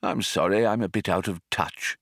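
A man voicing a kindly, absent-minded old man in an audiobook, speaking in a solemn, polite, unhurried and slightly wistful manner. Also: low voice, no echo, studio quality. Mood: vague, mild. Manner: vague, unhurried, wistful, gently formal. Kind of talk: audiobook character voice.

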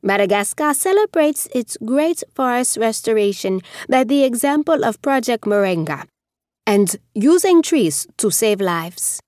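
Woman, formal measured announcer, dry close studio sound. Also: fairly high pitch, very animated, darkish tone, no room sound. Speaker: representative. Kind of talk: scripted roll-call reading.

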